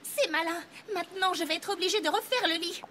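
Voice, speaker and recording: An actress voicing a prim, fashionable young pony mare in a French cartoon dub, haughty and dramatic. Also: female voice, extremely high pitch, brisk, heavy background noise. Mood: prissy. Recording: loud music under dialogue.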